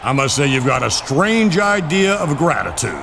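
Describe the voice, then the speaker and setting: A firm wrestling general manager with a wry edge, somewhat high and animated. He makes emphatic pronouncements in a video game, with clear noise around him. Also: normal speed. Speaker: wrestling show authority figure. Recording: clearly noisy arena sound.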